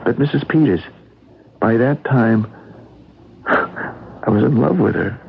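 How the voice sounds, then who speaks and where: An actor in a 1970s radio drama playing an earnest, lovestruck bachelor with an American accent and a hesitant, stammering delivery. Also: male voice, medium pitch, animated, slow pace, gravelly. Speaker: actor in a radio mystery play. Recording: tinny, hissy old radio broadcast.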